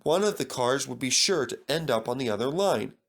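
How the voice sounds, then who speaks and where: A man's voice: mid-range voice, animated, brisk, fairly bright tone. A man reading a children's story aloud as a scripted narrator.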